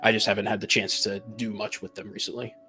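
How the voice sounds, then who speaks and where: A man's voice: lowish voice, flat delivery, fast talker, pushed and bright, faint music behind. A man giving emphatic, opinionated views in a casual podcast discussion of tabletop games. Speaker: podcast panelist.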